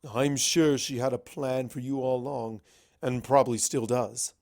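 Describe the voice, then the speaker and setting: A performer doing a gruff, cynical old wizard stallion, creaky. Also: medium pitch, fairly even intonation, moderate pace, darkish tone, no room sound, studio quality, lightly acted. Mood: bitter, resigned. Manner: emphatic, scoffing, lecturing. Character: Starswirl, old male wizard pony, gruff, cynical, blunt.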